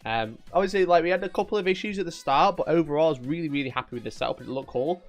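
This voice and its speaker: A man's voice: on the high side, very fast, soft background music. A man with a Yorkshire accent, casually chatting at his desk on a live stream, reading viewers' messages, joking, teasing and laughing often. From Northern England.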